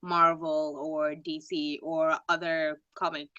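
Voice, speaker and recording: A woman joining a casual book discussion, chatty and acting out quick reactions in asides. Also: low voice, flat delivery, a bit bright, muffled recording. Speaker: book club panelist.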